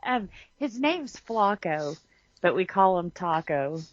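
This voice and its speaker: A woman's voice: lowish voice, very animated, slow pace, no room sound, muffled recording. A woman co-hosting a podcast, chatting casually with folksy, informal grammar. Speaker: podcast co-host. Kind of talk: casual chat.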